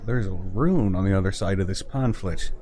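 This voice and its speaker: A man voicing a brooding, grieving male gunslinger in a tabletop fantasy game, with a terse, gruff, downbeat manner. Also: low-pitched, normal speed, warm tone, slight background noise, close to an everyday voice. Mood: musing, dry.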